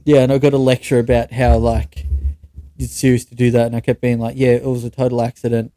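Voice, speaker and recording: A man's voice: mid-range voice, little pitch movement, fast, dark tone, no room sound. A young Australian man co-hosting a podcast, telling stories in a casual, sweary and blunt way. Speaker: podcast co-host. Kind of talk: casual chat, anecdotes with mates.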